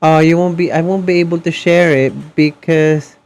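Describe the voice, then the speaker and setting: A man chatting casually on a game livestream in a hesitant, halting manner. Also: fairly high pitch, steady tone, gravelly, a little mellow. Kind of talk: casual stream chat.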